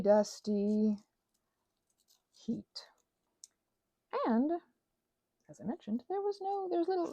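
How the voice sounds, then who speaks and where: A woman's voice: fairly high pitch, vocal fry, warm tone, dry recording. A woman in a casual, rambling chat, telling stories in a meandering, self-deprecating way with little acted-out asides. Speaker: host of a crafting ramble and ASMR channel.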